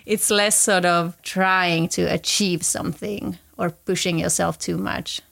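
A woman's voice: on the low side, fairly even intonation, measured pace, creaky, fairly bright tone. Woman in her forties, casual chat. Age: 40s. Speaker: podcast guest.

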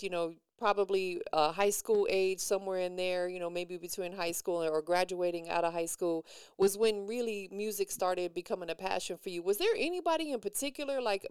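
A woman's voice: mid-range voice, darkish tone, clean tone, no room sound, studio quality. A woman hosting a casual hip-hop radio interview, slangy and encouraging with her guest. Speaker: radio host interviewing a musician.

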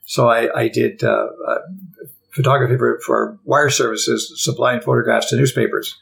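An older Canadian man being interviewed, rambling and halting with frequent restarts. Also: medium pitch, steady tone, gravelly, fairly bright tone, rough recording. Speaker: podcast guest. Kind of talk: casual interview conversation.

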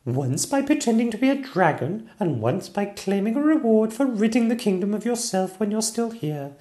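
A man voicing a young girl princess, earnest and clever, in a high squeaky girlish voice. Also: big pitch swings, moderate pace, dark tone. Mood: triumphant. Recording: roomy echo.

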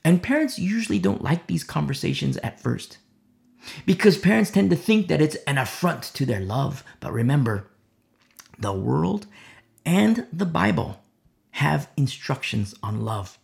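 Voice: medium pitch, big pitch swings, measured pace, vocal fry, pauses often, darkish tone. A preacher delivering a sermon, with rhetorical questions and a repeated "you see?".

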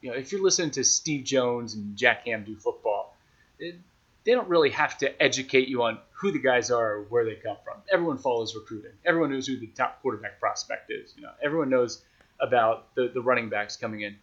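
Male sports announcer as podcast guest, mid-pitched, conversational and considered, slightly muffled lo-fi sound. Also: fairly quick, a bit bright. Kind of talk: casual conversational interview answers.

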